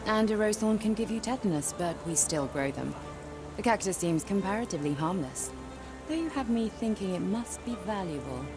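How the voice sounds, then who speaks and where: A woman's voice: mid-range voice, lively intonation, fairly quick, music in the background, lightly acted. A woman playing a proud, guarded flower seller in a video game, speaking with tart politeness. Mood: composed. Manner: tart, composed. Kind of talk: video game cutscene acting.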